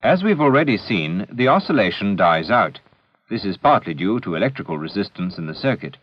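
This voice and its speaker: A man narrating a scripted educational film in a measured, deliberate, didactic manner, on an old 16mm film soundtrack. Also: lowish voice, big pitch swings, no echo, lo-fi sound.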